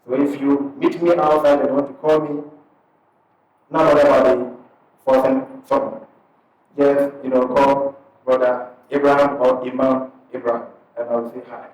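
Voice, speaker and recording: A man from Ghana with a Ghanaian accent, an imam speaking to a gathered audience in a conversational, unhurried way, breaking his sentences into short pieces. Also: medium pitch, little pitch movement, a little mellow. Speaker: imam and parish missionary. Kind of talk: addressing an audience at a community event. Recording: very echoey hall, speaker far from the mic.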